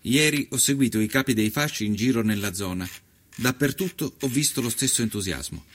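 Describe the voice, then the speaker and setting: A man narrating a scripted first-person story in a measured, literary and dramatic manner. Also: medium pitch, animated, a little hiss or hum. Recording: music under the voice. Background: musical soundtrack.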